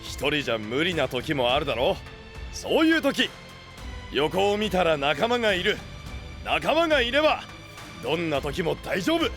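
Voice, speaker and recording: An actor playing a rough, hot-blooded, big-hearted young male knight leader with blunt slangy speech in an anime-style voice drama. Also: on the high side, very animated, normal speed, fairly bright tone, soft background music. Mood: earnest.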